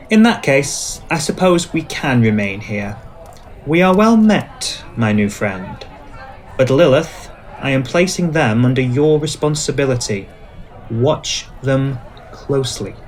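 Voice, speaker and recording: A man's voice: a little high, lively intonation, darkish tone, low-quality recording. Man playing a courtly, commanding ancient vampire. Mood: stern, wary. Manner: formal, measured, commanding phrasing. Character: ancient, suspicious, courtly dominant male vampire. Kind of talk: scripted ASMR roleplay acting.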